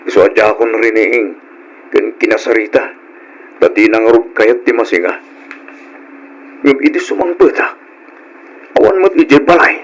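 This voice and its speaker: An actor playing a troubled, placating working husband in an Ilocano radio drama, on a noisy old recording. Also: male voice, on the low side, normal speed, long pauses, close to an everyday voice. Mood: worried.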